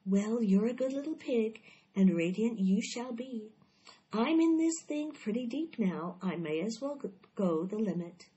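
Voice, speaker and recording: A woman's voice: a little high, lively intonation, a little slow, mellow, muffled recording. A woman voicing a wise, patient female spider in a storybook, polite and firm. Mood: fond.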